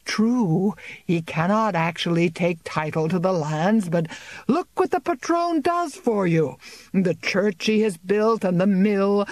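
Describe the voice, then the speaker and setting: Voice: medium pitch, no room sound, pitched above the performer's own voice. A reader voicing a fat, blustering male bailiff who bullies and bluster.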